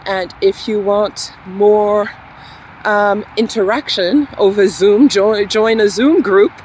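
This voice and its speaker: A woman teaching English, with a mixed accent carrying French, British and American traces, talking in a slow, encouraging way with many fillers. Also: mid-range voice, a little mellow, a little hiss or hum, rough recording. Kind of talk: casual spoken lesson to camera.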